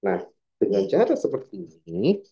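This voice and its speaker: A man's voice: mid-range voice, wildly swooping pitch, normal speed, vocal fry, mellow, husky, highs cut off. A man teaching like an informal lecturer, explaining step by step and often asking questions that he answers himself. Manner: asks rhetorical questions and answers them, frequent check-in tags. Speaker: accounting lecturer.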